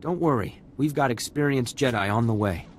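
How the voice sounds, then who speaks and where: Man as businesslike rescue coordinator, medium pitch. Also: normal speed, slight background noise, natural voice. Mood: reassuring. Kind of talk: video game dialogue.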